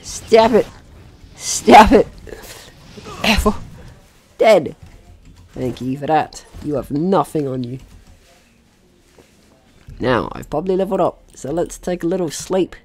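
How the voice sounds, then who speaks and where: A man's voice: a little high, lively intonation, slow, pauses often, a little mellow. A man hosting a casual let's-play, talking playfully to the game's characters and swearing now and then. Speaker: gaming host.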